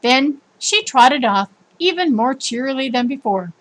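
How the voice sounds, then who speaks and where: A woman with a fairly high-pitched voice reads a scripted story. Her delivery is expressive and emphatic, in the manner of storybook narration. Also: unhurried, low-quality recording. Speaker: storybook reader.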